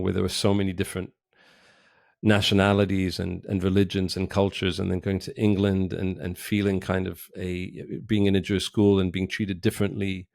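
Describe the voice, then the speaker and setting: A middle-aged man from Israel, a podcast guest, talking casually in a direct, reflective way with pauses and restarts. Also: low voice, vocal fry, a little mellow, dry recording, clean studio sound. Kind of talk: casual interview talk. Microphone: remote call.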